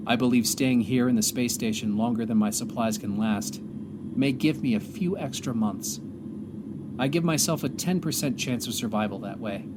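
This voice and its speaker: A man playing a stranded astronaut commander, reflective and hopeful, delivering a solemn, measured audio drama monologue with pauses. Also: mid-range voice, noisy background, lightly acted. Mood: reflective, resolute. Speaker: narrator and lead of an audio drama. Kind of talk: dramatic first-person monologue. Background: music.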